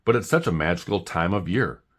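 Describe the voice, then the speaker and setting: A man voicing an excitable, pushy female oxpecker bird from a children's storybook, chirpy and enthusiastic. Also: deep voice, a little fast. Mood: cheerful.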